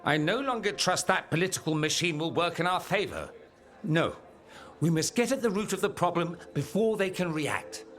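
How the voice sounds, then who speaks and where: An actor playing a suave, ambitious mage and rebel schemer in a fantasy video game, speaking with wry, theatrical eloquence. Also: male voice, fairly high pitch, animated, normal speed, fairly bright tone, soft background music. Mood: insistent. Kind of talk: dramatic video game dialogue acting.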